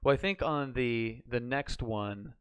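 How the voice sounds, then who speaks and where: A man's voice: medium pitch, slow, clean tone. A man chatting casually on a live stream, talking through hypothetical situations as he works them out. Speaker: flight sim streamer learning radio procedures.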